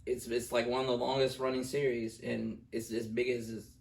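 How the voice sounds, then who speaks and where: A man's voice: medium pitch, flat delivery, moderate pace, gravelly. A young man in a casual, profane movie review chat, blunt, opinionated and joking. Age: young adult. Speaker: co-host of a movie review show. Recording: roomy echo, distant from the mic.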